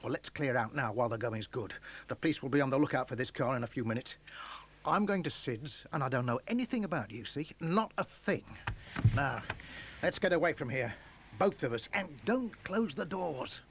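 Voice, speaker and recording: A man playing a furtive, edgy car thief with a curt, hushed manner in a children's audio drama. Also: mid-range voice, expressive, normal speed, lightly acted. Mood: furtive, urgent. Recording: faint noise on cassette dub.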